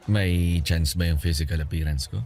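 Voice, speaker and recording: Low-voiced Filipino flirt in a radio drama. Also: male voice, steady tone, normal speed. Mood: playful. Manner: teasing, chuckling, conversational. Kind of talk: Tagalog radio drama acting. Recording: music under the voice.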